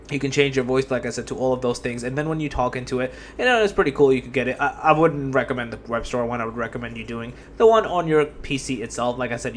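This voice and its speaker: A man hosting a casual tech tutorial, speaking informally and rambling a bit as he repeats himself. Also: medium pitch. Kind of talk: casual screen-recording tutorial. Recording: clear steady background noise.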